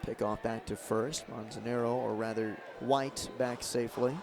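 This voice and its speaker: A man doing live radio play-by-play of a baseball game, describing the action in short, clipped, measured phrases. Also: medium pitch, fairly even intonation. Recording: clear outdoor ballpark noise behind.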